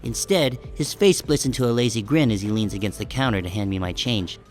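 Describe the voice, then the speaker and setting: A man playing a short, self-conscious sheep who narrates an audiobook in a wry, deadpan, casual first-person style. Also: on the low side, brisk, soft background music, close to an everyday voice. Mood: wry, musing.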